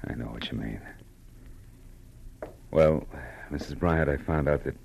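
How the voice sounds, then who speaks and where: An actor playing a tough but compassionate private detective, terse and weary, in 1940s radio noir. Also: male voice, a bass voice, unhurried, gravelly, a little hiss or hum, exaggerated voice. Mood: gentle, hesitant. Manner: terse, weary, hesitant when gentle.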